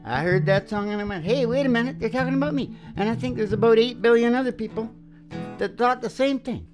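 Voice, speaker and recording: A man's voice: very high-pitched, quick pace, music in the background. A man hosting a radio show in a casual, rambling comic monologue, with a folksy, theatrical way of talking. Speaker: radio show host and singer.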